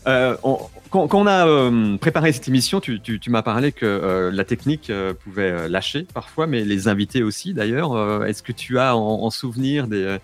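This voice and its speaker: Male interviewer, mid pitch, halting questions, light music underneath. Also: expressive. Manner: hesitant, many fillers. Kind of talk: casual interview chat.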